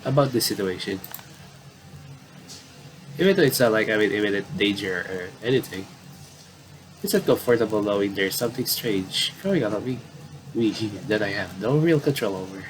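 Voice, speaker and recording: Male gaming commentator, lowish pitch, informal read-aloud style with stumbles and repeats, slightly noisy recording. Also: expressive, moderate pace, pauses often. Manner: stumbles and repeats phrases while reading. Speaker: gaming commentator reading a visual novel aloud. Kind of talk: casual playthrough commentary mixed with reading dialogue aloud.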